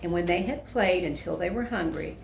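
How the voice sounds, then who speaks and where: A woman narrates a children's picture book. Her voice sits on the low side with noticeable creak. She reads deliberately and expressively, and the room adds a clear echo. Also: slight background noise. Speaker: volunteer storyteller. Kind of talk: read-aloud children's story narration. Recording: echoey room.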